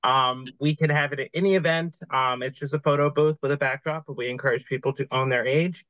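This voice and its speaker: A man's voice: mid-range voice, fairly even intonation, fairly quick, fairly bright tone, no echo. Young man on a dry, muffled video call. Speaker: presenter. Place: home room, indoors.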